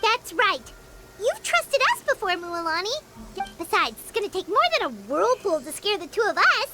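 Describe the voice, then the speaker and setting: A woman voicing a small, chirpy, loyal childlike fairy companion with a squeaky voice in an anime video game. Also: big pitch swings, normal speed. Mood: determined. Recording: loud game music behind.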